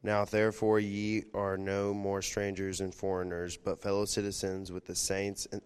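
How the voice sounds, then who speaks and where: A man reading scripture aloud in a steady, even way. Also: low voice, normal speed, clean tone. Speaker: scripture reader.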